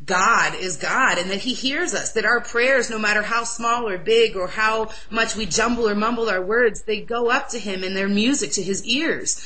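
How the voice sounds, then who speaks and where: Loud, bright-voiced young woman. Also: medium pitch, normal speed, hardly any pauses, low-quality recording. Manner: earnest and excitable. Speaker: interviewee. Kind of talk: personal religious testimony.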